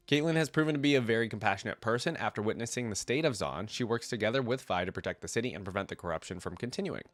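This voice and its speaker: A low-voiced man with a stuffy, nasal cold hosts a reaction video. He reads aloud and thinks out loud in informal asides. The sound is dry, with very little room in it. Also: no echo. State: has a cold. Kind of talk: casual reaction commentary, reading aloud and commenting.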